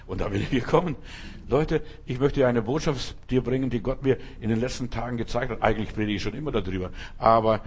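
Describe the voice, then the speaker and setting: A man's voice: mid-range voice, fast, breathy edge. A man. He hammers home repeated phrases and talks straight to each listener. His voice sits in an ordinary middle register. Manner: emphatic, repetitive, addresses listener directly. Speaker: preacher. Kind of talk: sermon.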